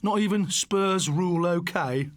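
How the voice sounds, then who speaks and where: A man playing a high, taunting schoolboy bully. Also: unhurried, no room sound, clean studio sound. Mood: cheeky. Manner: taunting, insolent. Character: sneering schoolboy bully, cheeky class joker. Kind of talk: audiobook character voice.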